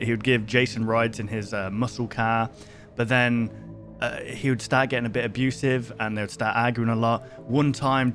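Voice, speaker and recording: A man's voice: mid-range voice, a bit bright. A man recapping a story in a casual, conversational commentary style, often thinking aloud as he goes. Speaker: host of a horror book review video. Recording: music behind the voice. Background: music.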